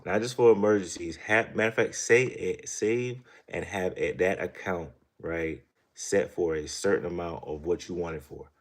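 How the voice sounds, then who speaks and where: A man with an extremely low, deep voice talks casually to his viewers. He rambles through a story and then money rules, and tags almost every thought with "right?". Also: normal speed, hardly any pauses. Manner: rambling, keeps asking "right?". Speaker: channel host.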